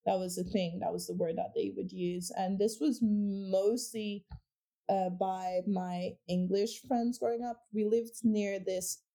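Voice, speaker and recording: A woman's voice: medium pitch, steady tone, a little slow, dark tone. A woman from Portugal, a native Portuguese speaker, talking about her background in a reflective casual conversation with many restarts. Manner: reflective, with frequent restarts and fillers.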